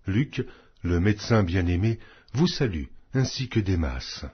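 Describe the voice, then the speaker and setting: The audio is a little dull and lo-fi. A man reads scripture aloud in a husky voice, with solemn, declamatory delivery. His phrasing is measured, yet his intonation moves widely up and down. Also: low voice, animated. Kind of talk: scripted Bible reading.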